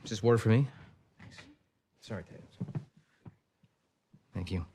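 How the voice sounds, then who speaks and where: An actor playing an arrogant, flippant champion racing driver in a mock-documentary game scene, sneering and scornful. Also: male voice, on the low side, a little slow, warm tone, slight background noise, close to an everyday voice.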